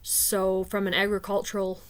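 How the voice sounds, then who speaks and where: A woman's voice: mid-range voice, completely flat delivery. A woman from Canada talking casually and thinking aloud, with frequent fillers and restarts. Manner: unhurried, hesitant, many fillers like "um" and "like". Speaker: video creator discussing rune poems. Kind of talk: casual spoken commentary.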